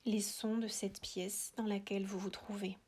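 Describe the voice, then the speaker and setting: A woman guiding a relaxation meditation in a soothing, hushed voice, with short phrases, long pauses and breathy, whispered endings. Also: mid-range voice, little pitch movement, a little hiss or hum. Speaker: yoga nidra relaxation guide.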